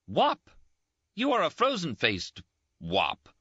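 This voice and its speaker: A man voicing a brash, teasing young Italian army surgeon in an audiobook, quick and boastful. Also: fairly low pitch, very animated, lo-fi sound, background noise, pitched above the performer's own voice. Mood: indignant. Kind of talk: audiobook character voice.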